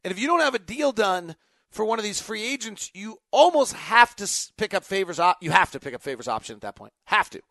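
A man's voice: high-pitched, very animated, a little fast, bright, forceful tone, no room sound. A man hosting an unscripted sports talk show, thinking aloud in choppy, emphatic phrases. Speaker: sports podcast host. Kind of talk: unscripted basketball talk, answering fan questions.

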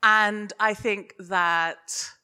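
A woman's voice: medium pitch, fairly even intonation, very slow, pushed and bright, smooth. A British woman chatting casually as a guest in a live interview, informal and self-deprecating, given to giggling. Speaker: podcast guest, podcaster and sensitivity reader.

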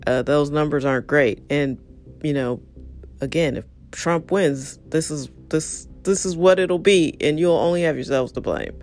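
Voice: medium pitch, a little slow, a little mellow, soft background music. A speaker who is losing their voice delivers a blunt, frustrated, casual monologue straight to the listener. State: hoarse, losing voice.

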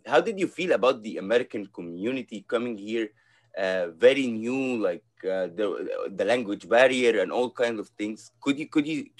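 A man from Egypt hosting a podcast interview, speaking hesitantly with many fillers and restarts. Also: on the low side. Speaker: podcast host. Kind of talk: casual interview.

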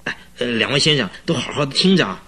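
An actor playing an agitated, talkative male client who recounts eerie events in a halting, rambling way in a radio drama, with a husky voice. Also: mid-range voice, expressive, a bit bright. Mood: fussy, excited. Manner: rambling, halting, full of interjections. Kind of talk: Chinese radio drama acting.

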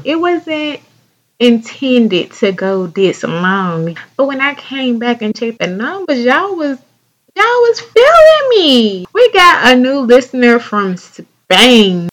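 A woman's voice: on the high side, sing-song, slow pace, a bit bright. A young woman from North Carolina with a Southern accent, hosting a casual solo podcast in a chatty, candid, drawling way. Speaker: podcast host.

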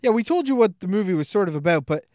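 A podcast co-host recapping and reacting to a film in casual, animated chat with frequent swearing. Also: mid-range voice, quick pace, dry recording, studio quality.

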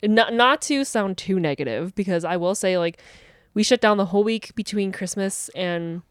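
Clear-voiced chatty woman. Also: medium pitch, expressive, normal speed, dry recording, studio quality. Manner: chatty, rambling, playful. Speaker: podcast co-host. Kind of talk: casual chat.

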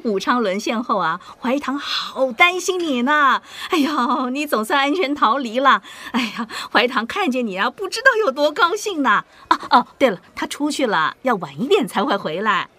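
An actress playing a warm, fussing innkeeper in a Mandarin audio drama, chatty and full of exclamations. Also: female voice, high voice, very animated, normal speed, hardly any pauses, no room sound, heavily acted. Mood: relieved, delighted. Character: warm, fussing married woman keeping an inn.

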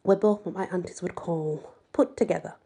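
A woman reading a first-person story aloud with expressive, dramatic delivery and a husky, breathy voice. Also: on the low side, normal speed. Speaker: amateur audiobook reader.

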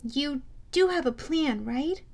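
Casting: female reader as storybook big sister, young, high-voiced, forceful, indignant and protective. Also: lively intonation, slow, exaggerated voice. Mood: anxious. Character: defiant, protective young girl, an older sister.

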